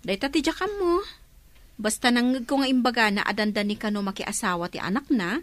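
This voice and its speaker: A woman's voice: fairly high pitch, a little fast, no echo, natural voice. An actress playing an inquisitive, accusing village woman in a radio drama. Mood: gossiping, accusing.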